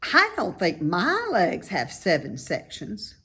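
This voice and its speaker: A woman's voice: medium pitch, wildly swooping pitch, slow, bright, forceful tone, slightly rough, heavily acted. A woman voicing an eager, boastful young male pig from a storybook, full of wide-eyed exclamations. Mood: amazed, curious.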